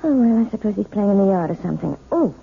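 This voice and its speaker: A woman's voice: mid-range voice, fast, vocal fry. A sweetly sinister lady. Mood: cooing. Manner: sweet, sing-song, with an eerie calm. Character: genteel woman with a sinister secret. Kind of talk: 1970s radio drama acting. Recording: lo-fi 1970s radio broadcast with hiss.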